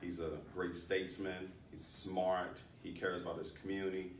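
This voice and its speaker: A man's voice: deep voice, flat delivery, normal speed. A man delivering a political endorsement in an assured, declarative way. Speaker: alderman, campaign supporter. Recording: echoey room, distant mic, faint noise.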